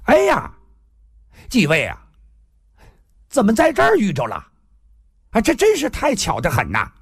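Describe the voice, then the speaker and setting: A male storyteller acts out an excitable little swordsman in his thirties, a doting older brother. The voice is high and husky, swooping widely as he gushes and exclaims in a martial-arts tale. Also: unhurried, dry recording, clean studio sound, heavily acted. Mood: delighted surprise. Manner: loud, gushing, exclamatory.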